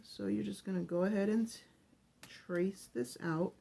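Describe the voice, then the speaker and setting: A woman's voice: medium pitch, steady tone, measured pace, creaky, mellow. A woman hosting a casual craft tutorial, chatty and rambling, her voice scratchy from the early morning. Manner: chatty, rambling, self-correcting. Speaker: craft channel host.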